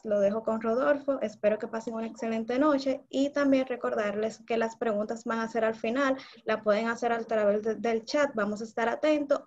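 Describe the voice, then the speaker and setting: A woman's voice: fairly high pitch, darkish tone. A woman hosting a government webinar, giving a polite, formal introduction over a video call. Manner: polite, announcing. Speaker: webinar host. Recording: dull lo-fi video call audio.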